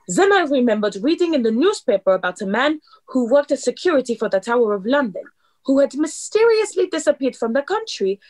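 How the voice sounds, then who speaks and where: A woman playing a poised, clever club performer in a radio play, explaining things with deliberate dramatic delivery. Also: a little high.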